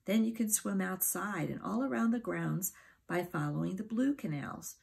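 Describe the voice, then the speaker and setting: A jolly, bouncing storybook character who grins through every word, high and excitable with a gravelly edge, as a woman narrator puts him on. He sounds endlessly playful. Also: moderate pace. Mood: inviting. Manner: excited, exclamatory. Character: jolly, bouncy male fun-loving host creature.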